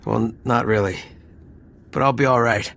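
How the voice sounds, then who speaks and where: A man's voice: mid-range voice, steady tone, normal speed, vocal fry, a bit bright. A man playing a battered, complaining, sardonic underworld sidekick in a video game cutscene. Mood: weary grumbling.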